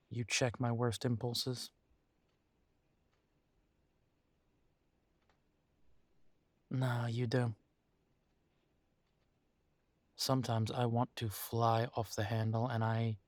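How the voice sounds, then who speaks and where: A man playing a troubled, tender werewolf boyfriend in an intimate roleplay audio drama, talking haltingly with sighs. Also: mid-range voice, no echo, natural voice. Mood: tender, grateful.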